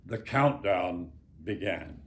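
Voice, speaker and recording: A man in his nineties with an American accent reads his own literary memoir aloud in a measured, deliberate way, with dramatic phrasing and pauses. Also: low-pitched, animated. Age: 90s. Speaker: author.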